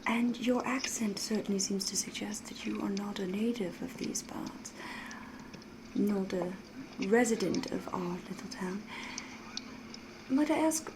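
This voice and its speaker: Woman acting breathy, flirtatious vampire lady, noisy ambience. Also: medium pitch, a little slow, pauses often, natural voice. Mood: coy, polite. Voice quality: breathy, often whispering. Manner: genteel, old-fashioned, formal phrasing. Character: flirtatious, mysterious vampire woman posing as a genteel villager. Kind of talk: whispered ASMR roleplay.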